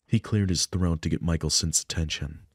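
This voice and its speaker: Male narrator for story game read-through, deep voice, dry sound. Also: moderate pace, slightly rough, no echo. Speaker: narrator reading a visual novel aloud. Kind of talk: scripted narration with offhand asides.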